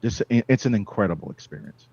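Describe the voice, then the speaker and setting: A man's voice: on the low side, expressive, fast, vocal fry, breathy edge, no echo. A man from New York City with a Spanish accent, hosting a casual podcast chat, rambling and laughing as he talks. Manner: rambling, warm storytelling with frequent laughs and restarts. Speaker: podcast host. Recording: dull lo-fi dry sound.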